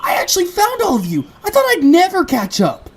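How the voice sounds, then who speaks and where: Squeaky, swooping, whiny little kid. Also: female voice, huge pitch swings, brisk, background noise, full character voice. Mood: excited, exasperated. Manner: whiny, exclamatory. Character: small, whiny, childlike tagalong adventurer. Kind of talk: animated audio drama acting.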